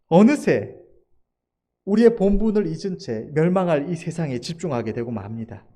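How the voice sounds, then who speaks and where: A man's voice: fairly high pitch, mellow. A man preaching a formal, scripted sermon in an earnest, emphatic manner, letting his sentence endings fall.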